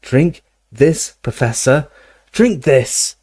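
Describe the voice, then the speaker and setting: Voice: mid-range voice, animated, slow, mellow, breathy edge, no echo, studio quality, pitched above the performer's own voice. A storyteller voicing a desperate young male wizard, anxious and urgently coaxing, as in an audiobook. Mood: desperate urging.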